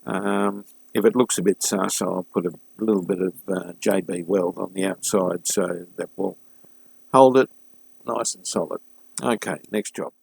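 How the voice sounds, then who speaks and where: A man giving a casual, unscripted hands-on repair walkthrough, thinking aloud haltingly and addressing viewers informally as a hobbyist host. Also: low voice, fairly even intonation, gravelly, darkish tone, breathy edge, no echo, poor audio. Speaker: hobbyist host of a vintage radio restoration series.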